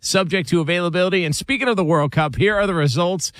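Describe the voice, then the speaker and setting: Brisk male sports radio host. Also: sits high, dry recording. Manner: brisk, reads ads and scores in a steady announcer cadence. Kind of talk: radio show banter and live reads.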